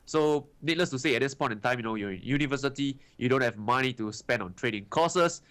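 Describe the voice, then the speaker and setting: A man's voice: mid-range voice, a little fast, a bit bright. Young singaporean guy in his 20s, talks casual and chatty, lots of "right" and "you know" tags. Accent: Singaporean English. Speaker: guest trader. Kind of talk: casual interview answers.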